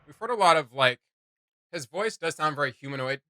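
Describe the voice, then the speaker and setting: Guy doing casual reaction commentary, really bright punchy voice, a bit high, opinionated, dry sound. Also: lively intonation, fairly quick, no room sound. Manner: emphatic, opinionated. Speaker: reaction video host.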